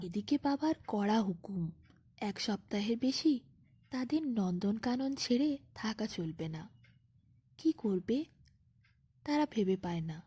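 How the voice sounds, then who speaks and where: A woman narrating a scripted bedtime fairy tale as a storyteller, in an expressive, unhurried manner. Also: on the high side, husky, no echo.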